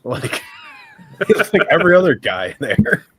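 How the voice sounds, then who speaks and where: A man's voice: fairly high pitch, sing-song, measured pace, fairly bright tone, husky. A man co-hosting a casual podcast, cracking crude jokes. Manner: wisecracking, crude humor. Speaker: podcast co-host. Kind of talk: casual chat on a live stream.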